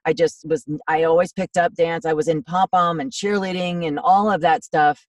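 A woman in her fifties with a low, raspy voice, chatting in a rambling, self-deprecating way as a voiceover actor guest in a podcast interview. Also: fairly even intonation, normal speed, a little mellow, no room sound, recorded from a distance. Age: 50s.

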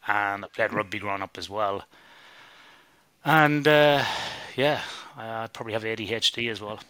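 A man's voice: on the low side, moderate pace, bright, forceful tone, dry recording, low-quality recording. A middle-aged Irish man, a sleep researcher, chatting casually as a podcast guest, swearing and laughing easily. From Ireland.

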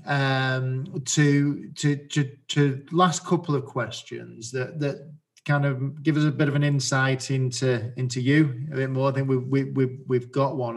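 A man hosting a podcast interview, asking questions while stumbling and repeating words. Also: mid-range voice. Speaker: podcast host. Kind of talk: casual interview.

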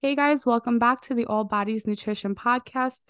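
A woman's voice: on the high side, normal speed, dry recording, studio quality. A young adult woman hosting a podcast interview, sounding a little nasal from a recent illness, enthusiastic with frequent fillers. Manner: warm enthusiastic, many fillers. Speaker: podcast host.

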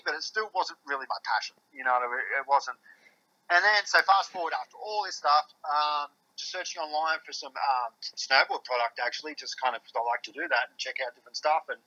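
A man's voice: mid-range voice, pushed and bright, dull audio. An Australian man guesting on a podcast, chatting casually and rambling with lots of fillers and restarts. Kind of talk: casual chat.

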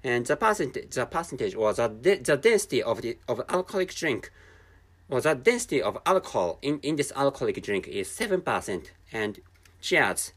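Halting man with a Japanese accent. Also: medium pitch, sing-song. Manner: halting, many restarts and repeated words. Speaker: podcast host. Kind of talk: casual solo podcast monologue. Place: at home, drinking a canned drink while recording.

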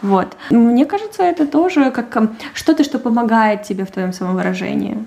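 A woman's voice: a little high, animated, a little fast, creaky, warm tone. A woman co-hosting a podcast, chatting casually and animatedly, laughing often as she talks. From Russian- and Ukrainian-speaking. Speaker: podcast co-host. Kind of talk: casual chat.

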